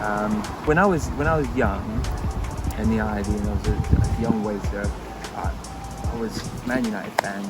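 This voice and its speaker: A man from Scotland, a restaurant manager telling casual anecdotes outdoors, animated and quick to laugh. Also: on the low side, over loud music, low-quality recording. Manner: animated storytelling, bursts into laughter, acts out quotes. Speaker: restaurant general manager, guest. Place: outdoors by a river.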